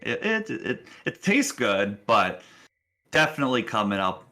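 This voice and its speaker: A man narrating a tabletop game as game master, casual and halting, often rephrasing as he describes scenes. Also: mid-range voice, big pitch swings, pushed and bright.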